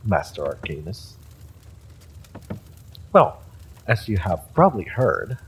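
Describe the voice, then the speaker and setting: A man's voice: low voice, vocal fry, a little hiss or hum, exaggerated voice, poor audio. A man playing an evil sorcerer in an audio roleplay, smug and silkily menacing, with a mock-polite, gloating delivery. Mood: theatrical, amused. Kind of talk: dramatic villain monologue, audio roleplay.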